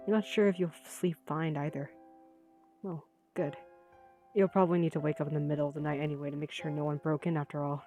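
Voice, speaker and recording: A woman with a fairly low voice reads a visual novel aloud as narrator of her gaming playthrough. Light music plays behind her. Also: little pitch movement, fast, mellow. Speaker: gaming video creator reading aloud.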